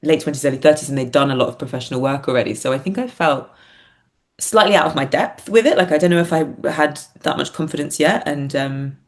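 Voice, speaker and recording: A woman's voice: sits low, animated, quick pace. A woman talking reflectively as an interview guest, hesitant, thoughtful and self-effacing. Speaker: podcast guest, playwright and performer.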